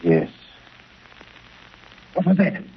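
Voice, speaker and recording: A man playing a nervous, trembling fugitive in a 1930s radio drama. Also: extremely slow. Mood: uneasy. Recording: muffled, noisy 1930s radio broadcast.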